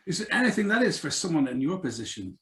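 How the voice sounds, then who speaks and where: An actor playing a suave, sneering blackmailer with mocking, drawn-out courtesy in a live radio drama reading. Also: male voice, fairly high pitch, very animated, reverberant. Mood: smooth, taunting.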